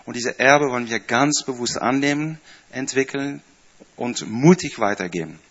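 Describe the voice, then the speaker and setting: A man in his fifties speaks German with a Dutch and French accent, addressing a congregation in a friendly, rambling way. He pauses often with fillers and small slips. The recording sounds a little dull. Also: medium pitch, a bit bright. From Netherlands. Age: 50s. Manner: chatty, hesitant with many fillers, small grammar slips. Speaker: church staff member giving a greeting. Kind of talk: informal speech to a congregation.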